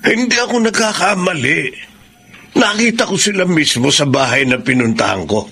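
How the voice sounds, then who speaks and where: An actor playing a protective older father in a radio drama, speaking gravely, deliberately and with emphasis. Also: fairly high pitch, expressive, pushed and bright, exaggerated voice. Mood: troubled, indignant. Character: protective, grave older father exposing his son-in-law's affair. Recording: clear background noise.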